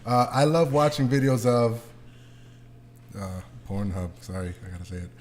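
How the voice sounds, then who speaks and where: A man's voice: mid-range voice, expressive, normal speed, darkish tone, slight background noise. A man hosting a podcast, chatting casually and teasingly with plenty of swearing. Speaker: podcast host. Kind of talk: casual joking group chat.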